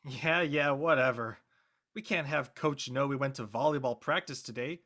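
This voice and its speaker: A man playing an excitable, loyal high school senior jock, speaking in slangy exclamations in an audio play. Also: moderate pace. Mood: glum, casual. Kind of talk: naturalistic dialogue in a live-streamed audio play.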